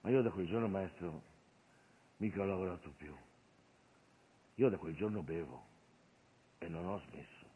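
A man playing a sorrowful peasant turned drinker, confessing haltingly in a theatrical monologue. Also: deep voice, slightly rough, pitched below the performer's own voice. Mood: weary, anguished. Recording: phone-like radio broadcast of stage show.

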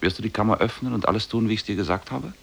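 An actor in a 1950s radio play playing a cunning demon in ape form, giving deliberate, commanding instructions. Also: male voice, lowish voice, vocal fry, a bit bright, breathy edge, full character voice. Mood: commanding, scheming.

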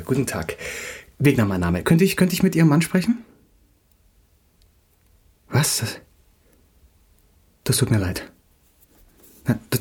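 A brooding, agitated young playwright in a radio play. His voice is very husky and medium in pitch. He stammers and repeats himself, halting as he goes. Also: a little mellow, heavily acted. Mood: awkward, shaken. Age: young adult.

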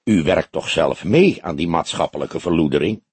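A man playing an old grey-haired police detective in an audiobook. He probes with wry, deliberate mock innocence, his husky voice rising and falling in a lively way. It is recorded dry. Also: a bass voice, no room sound, pitched below the performer's own voice, full character voice. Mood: pointed, sinister. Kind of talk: Dutch audiobook character dialogue.

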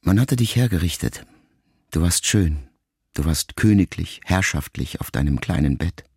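An actor playing a grieving grown son, a writer, in an intimate, measured literary radio monologue addressed to his mother. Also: deep voice, lively intonation, darkish tone, slightly rough, no echo, clean studio sound, exaggerated voice. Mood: sorrowful, tender. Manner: measured, reflective, intimate, with deliberate pauses.

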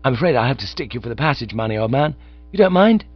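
A man voicing an excitable young gentleman who talks in a breezy, hurried, chummy way. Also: medium pitch, breathy edge, soft background music, pitched above the performer's own voice. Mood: eager. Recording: slightly dull lo-fi recording.

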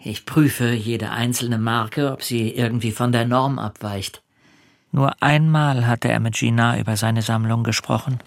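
A man's voice: mid-range voice, fairly even intonation, measured pace, gravelly, dry recording, studio quality, soft background music. A man acting a shy, modest middle-aged bachelor in a radio play, with a hesitant, subdued way of speaking. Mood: quiet, matter-of-fact.

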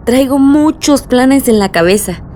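An earnest young Mexican woman in a radio drama. She sounds warm and sincere in a fairly high voice, over faint vehicle noise. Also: animated, unhurried. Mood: fond, earnest. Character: earnest, hopeful young woman engineer coming home.

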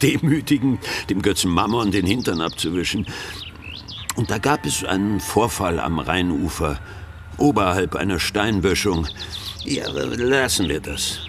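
A self-satisfied, pious pastor in a radio play, speaks low and with a creaky edge. He confides haltingly and with theatrical fervour, over faint background noise. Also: full character voice. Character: zealous, self-satisfied male pastor recounting divine calling. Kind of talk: radio drama testimony.

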